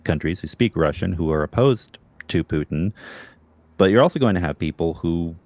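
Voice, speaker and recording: A man's voice: sits low, animated, normal speed, darkish tone, no room sound. A male host in a casual interview, giving long explanatory answers with plenty of "um" and "you know.". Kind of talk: casual video-call interview.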